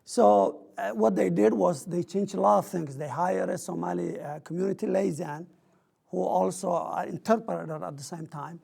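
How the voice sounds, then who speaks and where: Gravelly-voiced man giving a panel talk. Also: sits high, normal speed, a little mellow. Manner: earnest, explains with examples and questions. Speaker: panelist from a community outreach program.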